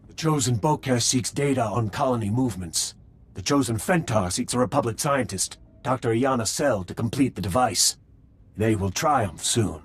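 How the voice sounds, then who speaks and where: A man playing a devoted alien cult warrior in a video game, grave and formal, with a husky voice. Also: mid-range voice, animated, moderate pace. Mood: solemn, fervent.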